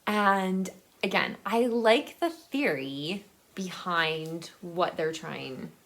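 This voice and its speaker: A woman talking casually and unscripted as a book vlogger, in a halting way, thinking aloud and rephrasing as she goes. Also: on the low side, a bit bright.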